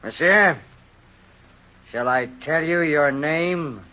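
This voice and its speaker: An actor playing a cold, suspicious, unyielding innkeeper, curt and formal, in a 1930s radio drama. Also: male voice, fairly high pitch, takes it slowly. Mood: stern, accusing. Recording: dull lo-fi old radio recording.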